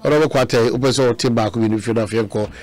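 A Ghanaian man hosting a radio panel, pushing back and calling for calm. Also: medium pitch, a little fast, no room sound. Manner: pushes back, calls for calm. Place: radio studio. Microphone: studio microphone.

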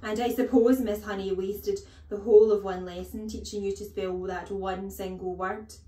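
In a roomy, echoing space, a huge bullying headmistress voiced by a woman reader speaks with loud contempt. She snaps and barks her orders, stretching words for menace, like a true storybook tyrant. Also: medium pitch, steady tone, natural voice. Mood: sarcastic. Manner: scornful, snapping, barking, drawn-out emphatic words.

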